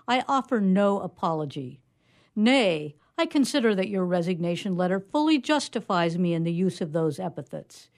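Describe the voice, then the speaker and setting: A woman's voice: medium pitch, a little mellow. A woman reading comic fiction as a haughty mad scientist, scolding in a pompous, florid manner. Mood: indignant scorn. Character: haughty, indignant mad scientist dictating a letter.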